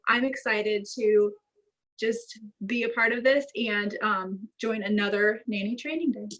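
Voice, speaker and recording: A woman and former agency staff member, chatting in an upbeat, excited way on a video call. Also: medium pitch, a little slow, low-quality recording. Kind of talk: casual chat.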